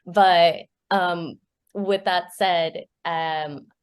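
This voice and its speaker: Low-voiced woman chatting casually. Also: steady tone, extremely slow, clear, resonant tone. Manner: chatty, laughs often, many fillers. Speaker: graduate student researcher. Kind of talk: casual panel chat.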